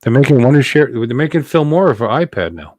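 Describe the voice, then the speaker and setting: A man's voice: mid-range voice, big pitch swings, fast talker, a little mellow, dry recording, clean studio sound. A middle-aged man hosting a casual livestream chat in a playful, rambling, joking way. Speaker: livestream host.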